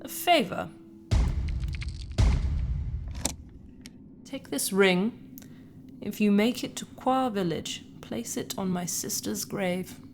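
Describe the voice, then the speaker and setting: A woman playing a grave, blunt alien healer in a science fiction audio drama, speaking in a solemn, deliberate manner. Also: mid-range voice, vocal fry, music in the background. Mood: solemn, regretful.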